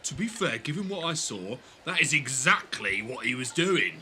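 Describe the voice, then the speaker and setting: A man's voice: mid-range voice, very animated, normal speed, pushed and bright, a little hiss or hum. An actor playing a blunt, easily exasperated male companion in a fan audio drama. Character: exasperated, plain-spoken male companion of the hero.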